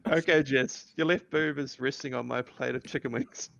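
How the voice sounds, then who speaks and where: A grumpy, guarded young bartender with a dry, sarcastic edge, as a man reads him in a sitcom table read. His voice is gravelly with vocal fry. The recording sounds dull and lo-fi. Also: mid-range voice, fairly even intonation, normal speed, a bit bright, dry recording. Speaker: podcast host reading a part.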